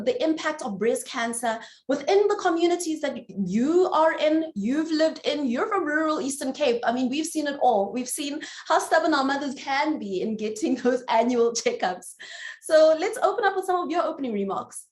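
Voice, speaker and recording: A woman's voice: high-pitched, expressive, moderate pace, a little mellow, roomy sound. A woman moderating a panel, who introduces her guest in an admiring, slightly rambling way. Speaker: moderator, host.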